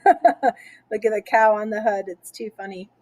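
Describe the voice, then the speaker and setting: Slow-paced woman reading a children's storybook. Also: medium pitch. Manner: playful, expressive. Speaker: storyteller reading a picture book aloud. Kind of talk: children's read-aloud with chatty asides.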